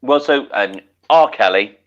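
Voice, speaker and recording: A man with a medium-pitched voice in easygoing comic banter, dry and wry, leaning hard on drawn-out words. The audio is a touch dull and lo-fi. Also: animated, fairly bright tone. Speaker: podcast co-host. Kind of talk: casual comedic chat.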